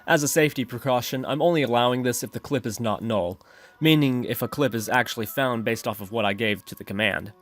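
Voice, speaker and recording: A man narrating an instructional screencast as the host of a software tutorial. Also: mid-range voice, a little fast.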